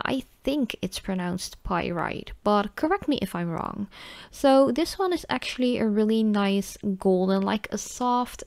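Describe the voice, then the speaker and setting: A woman's voice: mid-range voice, no echo, clean studio sound. A woman who is a native Dutch speaker with a Dutch accent, giving a casual product review in a chatty, informal way. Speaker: nail polish reviewer.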